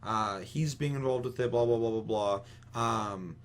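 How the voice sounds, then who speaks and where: A man's voice: mid-range voice, normal speed. A man chatting casually as a podcast host, giving opinions in an emphatic, rambling way full of profanity. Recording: clearly audible hum and noise.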